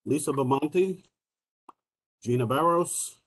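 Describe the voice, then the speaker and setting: A man calling the roll at a public board meeting over a video call, reading names briskly. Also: a little high, breathy edge.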